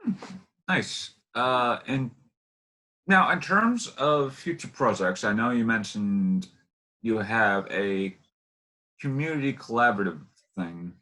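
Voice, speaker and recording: A man's voice: mid-range voice, expressive, takes it slowly, sharp tone. A man hosting an interview, phrasing his thoughts in a wordy, roundabout way. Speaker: interview host.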